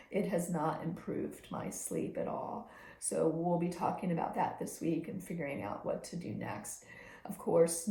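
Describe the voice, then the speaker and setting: A hoarse, short-of-breath woman recording a personal health video journal, speaking in a slow, measured way with frequent ums and pauses to clear her throat. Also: on the low side, warm tone, echoey room. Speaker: video diarist.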